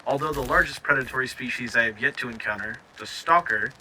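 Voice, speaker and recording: Man acting a stranded survivor, sharp urgent voice. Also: medium pitch, a little hiss or hum, poor audio. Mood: grave, subdued. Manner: halting, stammering over words. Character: stranded spaceship crash survivor keeping an audio log, anxious, awestruck. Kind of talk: scripted audio log narration.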